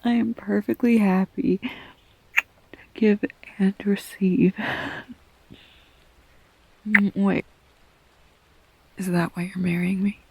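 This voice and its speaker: A woman playing a tender, flustered girlfriend in an intimate roleplay audio, speaking softly and haltingly, often slipping toward a whisper. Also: mid-range voice, fairly even intonation, breathy edge, rough recording. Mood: soft, teasing. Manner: halting, stammering, soft-spoken, breaking into whispers. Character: tender, flustered girlfriend blurting out a marriage proposal.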